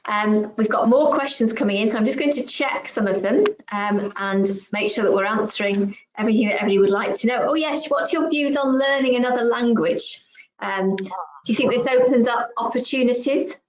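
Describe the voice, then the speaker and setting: A woman, a school head, hosting a webinar over a video call, measured and encouraging with frequent ums. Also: a little high, crowd noise. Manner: measured, encouraging, lots of ums and agreeing mm-hmms. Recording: echoey, muffled video call audio.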